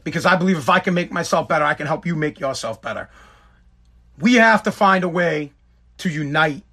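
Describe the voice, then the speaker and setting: Guy ranting to his viewers, high bright voice, swears a lot, keeps hammering the same point. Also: animated, brisk, slightly rough. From United States. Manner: emphatic, profane, repetitive. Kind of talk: impassioned monologue to viewers.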